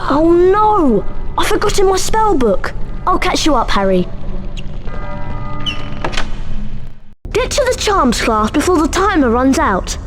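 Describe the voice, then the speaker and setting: A woman's voice: extremely high pitch, sing-song, moderate pace. A woman voicing a friendly, grumbling young British schoolboy wizard in a video game, chummy and exclamatory. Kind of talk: scripted video game acting. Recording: music behind game dialogue.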